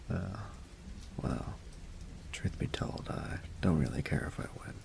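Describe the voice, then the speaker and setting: A man acting as a teasing, affectionate young roommate in an intimate audio roleplay, murmuring in a gravelly, breathy half-whisper. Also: very deep voice, a little mellow, full character voice. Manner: playful, unhurried, murmured delivery.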